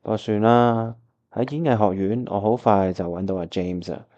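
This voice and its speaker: A man's voice: lowish voice, normal speed, mellow, highs cut off, natural voice. A man with a Hong Kong Cantonese accent acting a disheartened, wistful time traveller who narrates his own radio drama. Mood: resigned, grumbling. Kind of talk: first-person radio drama narration and dialogue. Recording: music playing behind the voice.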